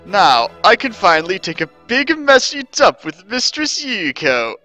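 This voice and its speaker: Eager, adoring servant girl played by a man, high and bright, drawn-out fawning delivery, storybook parody. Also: very animated, soft background music, exaggerated voice. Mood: eager, excited. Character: devoted, eager young half-ghost servant girl. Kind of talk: dramatic reading of fan fiction.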